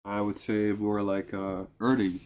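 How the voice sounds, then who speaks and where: A man's voice: on the low side, flat delivery, measured pace, darkish tone, slight background noise. A man with a congested, nasal voice talking casually in a vlog, rambling and hesitant with many fillers and restarts. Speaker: vlogger. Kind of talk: casual self-recorded vlog.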